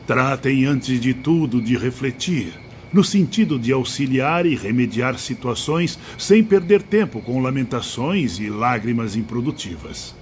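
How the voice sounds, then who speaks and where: A man playing a solemn, wise spirit teacher who instructs his disciples in a measured, fatherly way, in an audiobook drama. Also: mid-range voice, expressive, lightly acted. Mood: grave, consoling. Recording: dull sound, long reverb, background noise.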